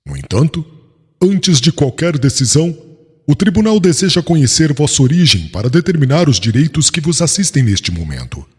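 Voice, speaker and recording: An actor playing a haughty, harsh young doctor of the law who interrogates formally and pompously in a dramatized audiobook. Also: male voice, fairly high pitch, big pitch swings, moderate pace, breathy edge. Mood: haughty, accusing. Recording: long hall reverb, faint music behind.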